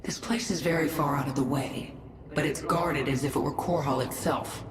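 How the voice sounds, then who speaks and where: A woman's voice: low voice, steady tone, normal speed, background noise, natural voice. A woman playing a vengeful, commanding queen of an alien swarm in a video game, imperious and decisive. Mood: probing. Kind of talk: video game cinematic acting. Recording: echo effect, loud music behind.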